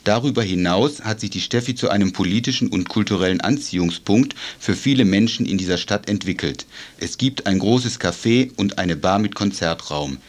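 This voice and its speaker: Low-pitched man narrating a radio report. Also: moderate pace.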